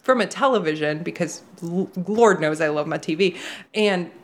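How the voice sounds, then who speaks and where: A therapist whose first language is Polish, talking casually on a podcast, telling stories with lots of laughter and acted-out quotes. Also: female voice, lowish voice, normal speed. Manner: animated storyteller who acts out quoted speech and laughs often.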